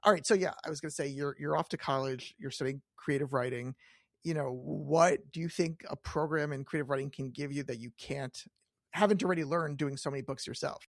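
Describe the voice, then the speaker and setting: Higher-voiced man hosting, very dry sound. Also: fairly quick, vocal fry, no echo. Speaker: podcast host. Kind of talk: casual interview chat.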